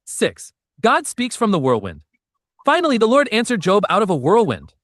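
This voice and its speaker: A man reading a scripted narration of an old story in a steady, storytelling way, with section headings. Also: high voice, moderate pace, dry recording, clean studio sound.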